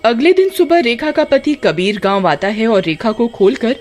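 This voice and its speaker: High-pitched woman storyteller. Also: very animated, normal speed, darkish tone. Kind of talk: scripted story narration.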